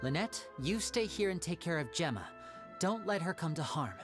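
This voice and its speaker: Game role: suave young male magician, animated intonation, theatrical and courteous, music behind. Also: lowish voice, moderate pace. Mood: composed. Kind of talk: video game voice acting.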